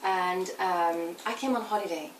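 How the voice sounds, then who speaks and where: A woman in her forties from Belgium, talking in a relaxed interview and thinking aloud with fillers and restarts. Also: fairly low pitch, moderate pace. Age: 40s. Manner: thoughtful, with fillers and self-corrections. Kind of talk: casual interview. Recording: roomy, distant mic with faint noise.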